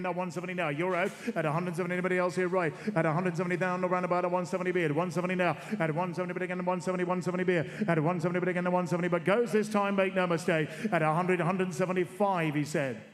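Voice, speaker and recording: Fast-chanting auctioneer in an echoing ring. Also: male voice, high-pitched. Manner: rhythmic repetitive bid chant, coaxing the room. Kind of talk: rapid bloodstock auction calling. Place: horse sales ring. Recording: long reverb of a sale ring.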